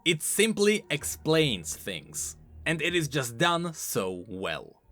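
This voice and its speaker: A man hosting a game lore video essay, explaining in an expressive, informal and emphatic way, scripted but with casual asides. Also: on the high side, takes it slowly. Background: background music.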